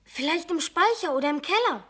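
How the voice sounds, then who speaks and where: High-voiced eager boy. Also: lively intonation, fairly quick, a bit bright. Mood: polite, hopeful. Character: polite, eager neighbour boy. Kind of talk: children's radio play acting.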